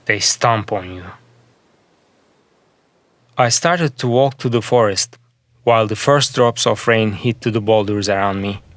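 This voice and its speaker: A man narrating a scripted fantasy story in the first person, with measured, deliberate phrasing in short segments. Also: lowish voice, fairly bright tone, no room sound.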